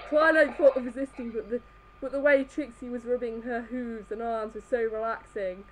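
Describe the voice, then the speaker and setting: A woman's voice: fairly high pitch, fairly even intonation, normal speed, a little hiss or hum, low-quality recording. A woman reading fan fiction aloud live, stumbling over the text as she goes. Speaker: reader in a group comedy reading.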